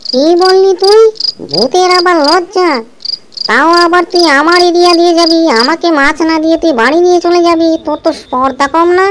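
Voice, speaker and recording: Woman as a high, fast-talking bullying cartoon ghost. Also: background noise. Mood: menacing, scornful. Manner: threatening, taunting. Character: bullying, shameless male ghost demanding fish. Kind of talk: cartoon voice acting.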